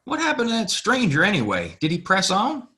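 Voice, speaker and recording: A good-natured, nervous cowboy sidekick with an American accent, voiced by a man for a Western audio play. His high voice swings wildly up and down and has a bright edge. He stammers and talks in folksy phrases. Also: huge pitch swings, a little fast, exaggerated voice. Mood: eager, teasing.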